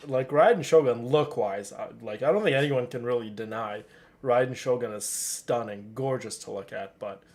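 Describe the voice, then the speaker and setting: A game streamer talks casually over gameplay at a medium pitch. The delivery is teasing and playfully dramatic, in the manner of loose chat with viewers. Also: normal speed, a little mellow.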